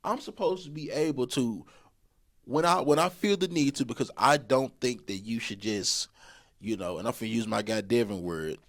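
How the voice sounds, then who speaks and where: A man's voice: mid-range voice, normal speed. Man in his thirties chatting casually. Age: 30s. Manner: rambling, repeats himself, colloquial, laughs often. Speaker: podcast co-host. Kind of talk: casual relationship advice talk.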